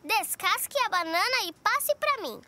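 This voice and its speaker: A woman's voice: very high-pitched, lively intonation, a little slow, sharp tone, slight background noise, heavily acted. A woman voicing a curious, bubbly little girl in a children's cartoon, eager and full of questions. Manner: eager, inquisitive, exclaiming. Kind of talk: animated children's cartoon dialogue. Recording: music bed under the voice.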